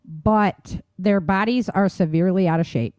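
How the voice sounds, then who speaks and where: A woman's voice: fairly low pitch, moderate pace, darkish tone, no echo. Casual woman podcaster, dry close sound. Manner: informal, emphatic, laughs easily. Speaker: podcast host. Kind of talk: casual survival-tips discussion.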